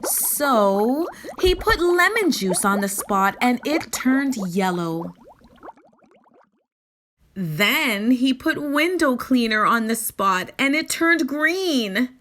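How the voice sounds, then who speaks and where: Female picture-book narrator, high-voiced and unhurried, expressive and deliberate. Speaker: children's story reader. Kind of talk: scripted picture-book read-aloud.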